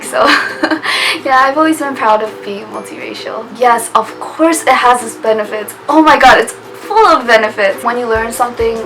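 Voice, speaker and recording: A woman's voice: fairly high pitch, fairly bright tone, low-quality recording. A young woman chatting casually to camera in a vlog, laughing often and trailing off with little "I guess" asides. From United States. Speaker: vlogger. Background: music.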